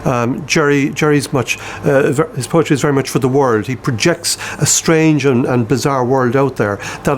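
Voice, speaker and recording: A man speaking as a publisher in a reflective interview, choosing his words in a considered, halting way. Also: mid-range voice, slight background noise. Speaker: publisher interviewed about a poet.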